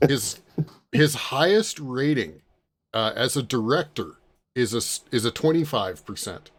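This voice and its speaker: A man hosting a panel podcast in a casual, conversational way, often pausing to find his words. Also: mid-range voice, expressive. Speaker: podcast host leading a panel.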